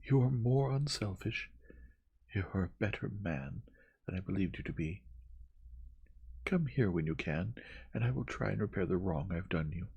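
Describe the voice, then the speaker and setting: Man acting a frantic high-pitched Victorian young lady. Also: animated, normal speed, vocal fry, long pauses, a little mellow, slightly rough, highs cut off, full character voice. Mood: feverish, remorseful. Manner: vehement, dramatic, emphatic. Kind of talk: dramatized audiobook reading.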